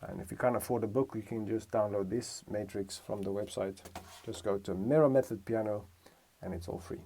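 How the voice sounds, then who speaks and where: A man's voice: on the low side, steady tone, fairly quick, creaky, dark tone, slight background noise. A man from Romania giving a casual music lesson, thinking aloud and correcting himself as he explains. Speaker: music teacher.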